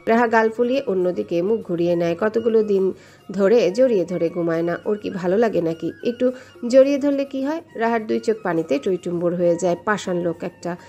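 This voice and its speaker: A woman's voice: mid-range voice, a little fast, mellow. A woman reading a scripted romantic story as its narrator. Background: background music.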